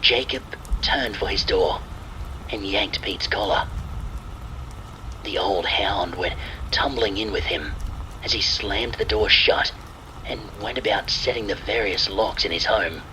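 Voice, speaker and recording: A man's voice: lowish voice, measured pace, sharp tone, a little hiss or hum, rough recording. A man reading a scripted horror story as narrator, with rain and thunder sounds behind him. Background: rain and thunder ambience.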